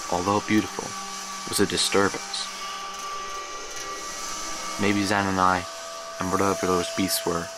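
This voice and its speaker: A very deep, haunted scholar. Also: male voice, background noise. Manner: brooding, measured, literary phrasing. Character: haunted, sleepless, obsessive male scholar recounting horrors. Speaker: actor in an audio drama. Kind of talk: dramatic first-person horror monologue.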